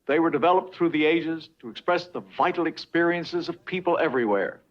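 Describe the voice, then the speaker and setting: An older man playing a patient, kindly professor, lecturing deliberately and reassuringly in a 1950s educational film. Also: on the high side, lightly acted. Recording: dull vintage film soundtrack, music behind.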